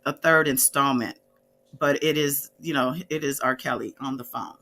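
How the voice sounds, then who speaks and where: A woman's voice: very deep voice, fairly even intonation, normal speed, sharp tone. A woman hosting a casual online commentary show, chatting conversationally and addressing her audience directly. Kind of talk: casual livestream chat.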